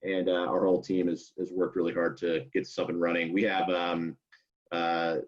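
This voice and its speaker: A man giving an informal work update on a conference call, speaking in a halting, hedging way. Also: deep voice, level tone. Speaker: association staffer giving a report. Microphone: conference call line. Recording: muffled conference call audio.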